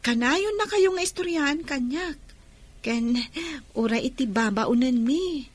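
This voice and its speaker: A woman's voice: high-pitched, animated, unhurried. A woman playing a cheerful, affectionate young adopted daughter in a Philippine radio drama. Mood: earnest, affectionate.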